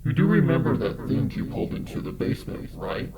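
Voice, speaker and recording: A man voicing a sinister undead young dragon in a horror fan fiction, taunting slowly in a wet, gurgling rattle. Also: medium pitch, very animated, mellow. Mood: menacing.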